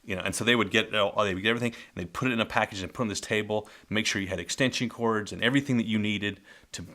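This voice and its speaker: A middle-aged man telling old work stories in a casual, rambling way, often correcting himself mid-sentence, with a loud voice. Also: fairly low pitch, racing. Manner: rambling, self-correcting, warmly nostalgic storyteller. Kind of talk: casual spoken memoir. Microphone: close mic.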